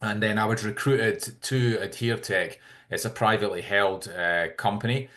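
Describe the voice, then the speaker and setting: A middle-aged Scottish man with a low-pitched voice speaks as an interview guest. He explains things in a measured, articulate way, in an easy conversational register. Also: fairly even intonation, fairly bright tone. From Scotland. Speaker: podcast guest, tech company CEO.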